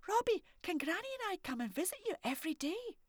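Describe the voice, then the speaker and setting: A woman's voice: very high voice, sing-song, quick pace, no room sound, studio quality, pitched above the performer's own voice. Woman doing a frightened little Scottish girl. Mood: eager.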